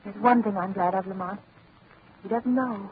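An actress playing an anxious, devoted companion in a 1930s radio drama, speaking with emotion. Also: female voice, medium pitch, normal speed, natural voice. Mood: sorrowful. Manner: emotional, pleading. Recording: tinny old radio broadcast with faint hiss.

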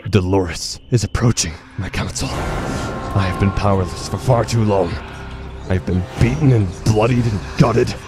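A man's voice: lowish voice, moderate pace, music in the background, lightly acted. An actor playing a beaten, devoted servant who solemnly begs his masters for power in an audio drama. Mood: pleading, grim. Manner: solemn, supplicating.

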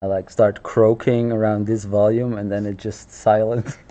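A man in his thirties chatting casually on a live stream from a small office, with a naturally loud voice and relaxed chuckling asides, into a headset mic. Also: lowish voice, unhurried. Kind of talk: casual chat while drawing on stream. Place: small office room, indoors. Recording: dull lo-fi stream audio.

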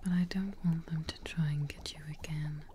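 A woman in her twenties whispering softly and reassuringly in an ASMR roleplay as a kindly witch, close to the mic. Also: deep voice, steady tone, slight background noise. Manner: hushed, slow, reassuring. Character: kindly witch receptionist brewing a healing potion.

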